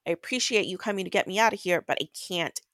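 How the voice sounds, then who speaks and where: A woman's voice: lowish voice, fast, fairly bright tone, no room sound, clean studio sound. A woman co-hosting a podcast, giving a casual book recap in a blunt, exasperated way and swearing freely. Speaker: podcast co-host.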